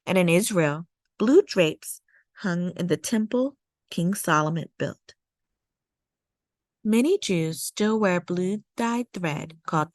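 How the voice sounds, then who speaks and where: Woman reading a children's book aloud, lowish voice. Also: no room sound. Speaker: storytime read-aloud host. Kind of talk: scripted read-aloud narration of a children's nonfiction picture book.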